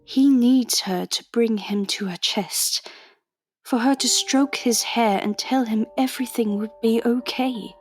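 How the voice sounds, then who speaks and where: A woman's voice: fairly high pitch, unhurried. A woman playing a wry, motherly undercover agent, confiding as narrator of a scripted audio drama. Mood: tender, pained.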